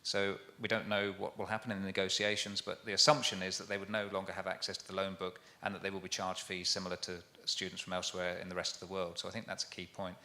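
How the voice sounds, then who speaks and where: A man's voice: sits low, completely flat delivery, fast, few pauses, bright, forceful tone. A man answering audience questions on a conference panel, earnest, with frequent restarts. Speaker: university panelist.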